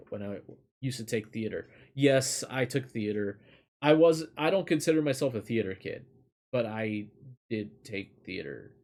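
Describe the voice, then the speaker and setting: A man's voice: on the low side, gravelly, darkish tone. A man reading a fairy tale aloud and chatting casually as a streamer, in a loose, rambling manner. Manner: casual, rambling, swears lightly. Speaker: storyteller and streamer.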